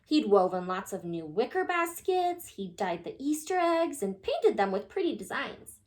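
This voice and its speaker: A woman reading a children's picture book aloud in an animated, expressive way, addressing young listeners. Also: fairly high pitch, moderate pace.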